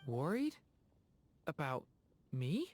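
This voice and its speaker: A woman voicing a gentle, earnest young dragon boy in a video game, thoughtful and unsure of himself. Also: low voice, very animated, takes it slowly, exaggerated voice. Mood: apologetic, moved. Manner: soft-spoken, thoughtful, hesitant. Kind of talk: video game character acting.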